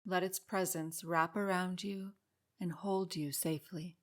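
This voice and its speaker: Low-pitched woman guiding a calm meditation. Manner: calm, soothing, unhurried instruction with pauses. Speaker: meditation guide and podcast host. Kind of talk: guided meditation narration.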